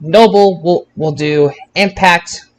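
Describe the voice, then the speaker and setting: Slow-paced, higher-voiced male podcast host. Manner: halting, restarts phrases often. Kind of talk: hosting a wrestling roundup podcast.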